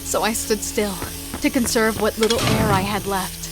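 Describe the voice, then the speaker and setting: A woman's voice: mid-range voice, steady tone, normal speed, loud music behind the voice, lightly acted, poor audio. A woman playing a wary, devoted female killer in a horror audio drama, telling and living the story with tense emotion. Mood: breathless, fearful. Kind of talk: first-person dramatic narration and horror audio drama dialogue.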